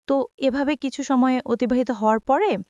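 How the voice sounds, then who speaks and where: Bangladeshi lady telling her life story on radio, highish voice, dry close sound, drops in english words. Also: moderate pace, a little mellow, no echo, lo-fi sound. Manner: acts out reported dialogue while narrating; mixes English words into speech. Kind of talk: personal storytelling on a radio show.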